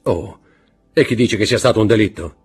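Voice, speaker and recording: A man's voice: on the low side, normal speed, slightly rough, faint music behind, pitched above the performer's own voice. A man voicing a tense royal prosecutor in an audiobook reading, speaking in a forced, strained way.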